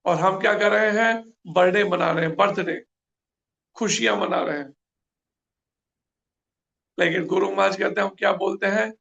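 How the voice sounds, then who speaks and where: Male preacher, raspy fry-heavy voice, pitched up, earnest and questioning. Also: moderate pace, long pauses, fairly bright tone, dull audio. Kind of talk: scripture class.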